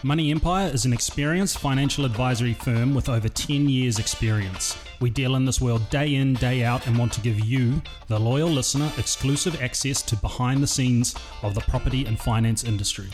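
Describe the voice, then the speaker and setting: A man voices a scripted podcast promo at a middle pitch. His read is smooth and polished, with guitar music clearly underneath. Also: a little fast, few pauses. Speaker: announcer.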